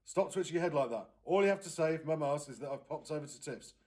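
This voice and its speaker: A man voices an impatient teenage sister from a children's storybook. The character is bossy and brisk, speaking in commands, slightly raised from the reader's normal voice. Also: a little high, animated, darkish tone, pitched above the performer's own voice, close to an everyday voice. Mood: exasperated.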